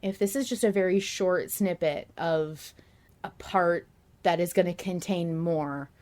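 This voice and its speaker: A woman's voice: fairly low pitch, unhurried, darkish tone. A woman hosting a podcast, chatting casually in earnest, emphatic, run-on thoughts about a story she follows as a fan. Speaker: podcast host. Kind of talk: casual fan discussion of an audio drama.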